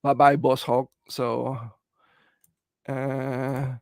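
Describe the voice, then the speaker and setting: A middle-aged man from the Philippines with a Filipino English accent, hosting a casual livestream and answering viewer comments in a halting, earnest way, full of "uh" and "you know.". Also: medium pitch, flat delivery, a little mellow, clear, resonant tone, no echo, studio quality. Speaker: livestream host.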